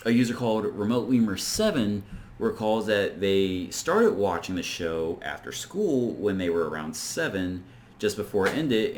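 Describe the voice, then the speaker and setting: Deep voiced guy doing a rambly casual video essay, bit of noise on the recording. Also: darkish tone. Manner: rambling, conversational. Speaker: video reviewer and host. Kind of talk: informal video essay recapping and commenting on a horror series.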